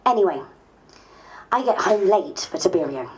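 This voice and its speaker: A woman's voice: fairly low pitch, lively intonation, moderate pace, slight background noise, lightly acted, low-quality recording. A woman playing an outspoken, principled MP in a diary dramatisation, speaking in a wry, conversational way. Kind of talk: dramatised diary, dialogue and narration.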